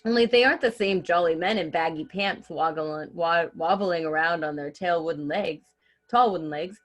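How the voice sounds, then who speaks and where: A woman's voice: on the low side, normal speed. A woman reading a children's story aloud expressively and chatting casually as host.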